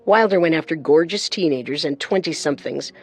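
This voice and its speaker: A woman co-hosting and narrating a scripted true-crime show with measured, dramatic storytelling. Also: low voice, a little mellow, breathy edge, faint music behind. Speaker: podcast co-host and narrator.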